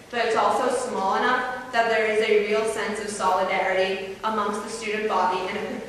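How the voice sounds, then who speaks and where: A woman's voice: mid-range voice, fairly bright tone. A teenage student with a mild stutter delivers a formal, carefully paced speech. Her voice sits mid-range and is picked up from a distance, with a long, roomy hall echo around every word. A faint hiss sits underneath. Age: teens. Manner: formal, carefully paced, occasional repeated words. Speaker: student speaker. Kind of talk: prepared speech to an audience. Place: large hall, heard from far off.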